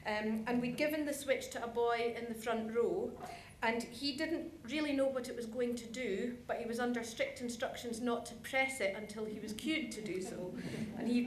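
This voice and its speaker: A woman giving an informal presentation in a chatty way, speaking directly to her audience. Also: fairly high pitch. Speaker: conference presenter. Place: large conference hall. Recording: echoey hall, some background noise.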